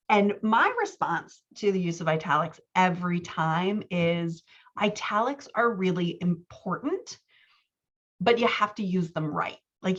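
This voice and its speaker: A woman's voice: on the low side, fairly bright tone, highs cut off. A woman working as a writing coach and podcast host, giving casual, encouraging feedback in conversation. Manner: encouraging, affirming.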